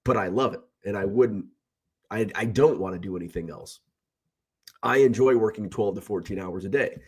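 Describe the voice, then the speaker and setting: A man's voice: lowish voice, moderate pace, darkish tone, breathy edge. A middle-aged man who sells baseball cards, talking casually in a rambling, chatty way while sick with a cold. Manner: rambling, self-correcting, chatty. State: sick with a cold, slightly rough voice. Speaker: card seller and live streamer. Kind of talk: casual vlog chat.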